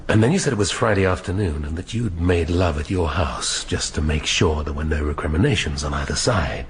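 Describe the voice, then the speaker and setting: An actor with a British accent playing a dry, probing middle-aged police inspector in a radio drama. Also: male voice, rumbling low, expressive, brisk, slight background noise. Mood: probing, intimate. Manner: dry, questioning, sardonic.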